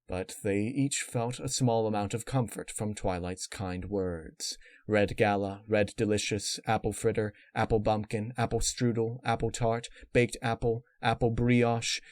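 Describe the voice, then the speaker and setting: A man reading scripted dark fan fiction as narrator, in a measured, dramatic way. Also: lowish voice, no room sound, clean studio sound. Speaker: story narrator.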